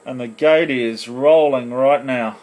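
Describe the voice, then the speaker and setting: A man's voice: mid-range voice, takes it slowly, heavy background noise. A man in casual, laid-back CB radio banter full of slang, recorded on a phone. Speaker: amateur CB operator filming on phone. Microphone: phone recording in the radio room.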